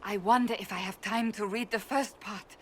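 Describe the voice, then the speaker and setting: A woman's voice: medium pitch, fairly even intonation, moderate pace, a bit bright, a little hiss or hum, close to an everyday voice. An actress voicing a stern woman warrior in a video game, flustered and defensive. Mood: eager. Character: stern woman warrior, secretly a romance reader.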